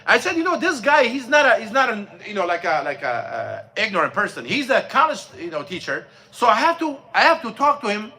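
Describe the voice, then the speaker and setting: Bright-voiced man telling a story. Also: sits high, wildly swooping pitch. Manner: storytelling, halting with many fillers and restarts. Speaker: imam. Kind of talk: mosque class talk. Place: mosque classroom.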